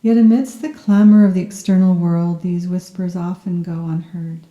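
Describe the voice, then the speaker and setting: A soft-spoken woman guiding a yoga nidra meditation in a gentle, soothing and unhurried manner. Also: on the low side, fairly even intonation, roomy sound, lo-fi sound. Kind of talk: guided meditation, scripted narration.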